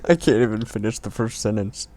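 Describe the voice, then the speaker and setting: A man's voice: lowish voice, lively intonation, a little fast, crowd noise. A man reading fan fiction aloud in a casual comedic way, amused, swearing and breaking off to comment. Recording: noisy home recording with clicks and typing.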